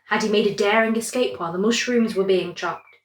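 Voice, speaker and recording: A woman's voice: mid-range voice, a little fast, roomy sound. A woman reading a scripted bedtime story as its narrator.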